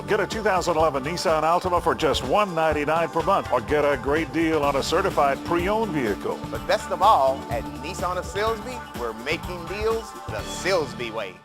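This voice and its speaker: Upbeat male car ad announcer. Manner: upbeat sales pitch. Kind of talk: car dealership radio ad. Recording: music bed behind.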